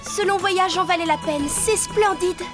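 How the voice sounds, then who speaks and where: Very high-pitched young cartoon princess. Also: female voice, a little slow, over music. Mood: polite, delighted. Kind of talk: French animated series dubbing.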